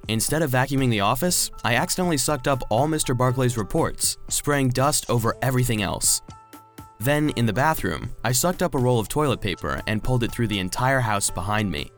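A man playing an earnest, anxious robot vacuum cleaner who narrates a children's audio drama in an expressive storytelling manner. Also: medium pitch, lightly acted.

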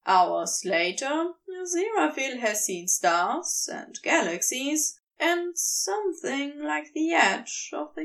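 A woman reading scripted fiction aloud as an expressive story narrator. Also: sits high, creaky, distant mic. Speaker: podfic reader.

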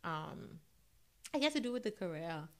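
Woman radio host doing a relaxed chatty interview, voice a bit on the low side, affirming. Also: normal speed. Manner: affirming, murmurs agreement often. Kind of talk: casual interview.

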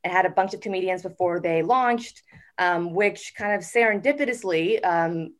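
A woman in her forties chats through a casual podcast interview with easy, upbeat energy. Her voice sits on the low side for a woman, and she often fills gaps with "um" as she works through her story. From United States. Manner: chatty and upbeat, with frequent "um" pauses. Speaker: guest, TV network executive.

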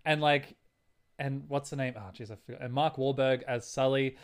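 A man's voice: medium pitch, steady tone, moderate pace, clean tone. A man co-hosting a casual movie review chat, thinking aloud in an informal, loosely structured way. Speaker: podcast co-host.

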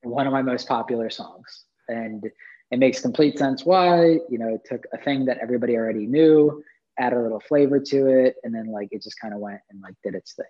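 A man, a musician guest, talking in a relaxed, rambling way and often saying "like". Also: medium pitch, a little fast, lo-fi sound. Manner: relaxed, rambling, heavy use of "like". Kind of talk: casual conversation.

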